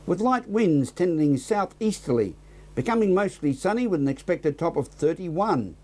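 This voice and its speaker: Australian male news presenter, slightly high voice. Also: darkish tone. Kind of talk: local TV news presenting and interviewing.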